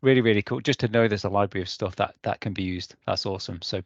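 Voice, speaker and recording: A man's voice: fairly low pitch, quick pace, no room sound. A man commenting casually and enthusiastically as a participant in an online meetup, over a video call. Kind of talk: casual appreciative chat. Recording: dull, dry online call.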